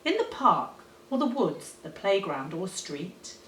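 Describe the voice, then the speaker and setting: Female storyteller for rhyming children's tale, expressive and deliberate, recorded at a distance in a roomy space. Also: lowish voice, slight background noise. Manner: animated, dramatic, deliberate storytelling. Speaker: teacher reading a storybook. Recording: roomy, echoey, distant microphone.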